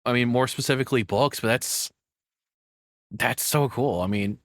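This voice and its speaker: A man's voice: medium pitch, normal speed, no echo. Rambling man with a dry, close sound. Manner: rambling, informal, slangy. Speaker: reaction streamer. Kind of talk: casual reaction commentary.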